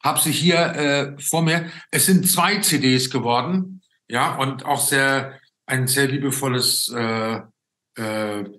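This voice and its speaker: Halting older man in an echoey room. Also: mid-range voice, bright, forceful tone. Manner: halting, often tagging sentences with a questioning 'ne?'. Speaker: interviewer and show host. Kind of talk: conversational interview. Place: indoor room at home, on a video call. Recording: roomy, echoing video call.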